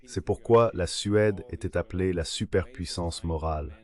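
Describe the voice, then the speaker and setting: A man's synthetic voice reading translated political discussion as a measured voice-over. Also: deep voice. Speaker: voice-over translator of interview guests. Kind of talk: dubbed political discussion, measured read delivery.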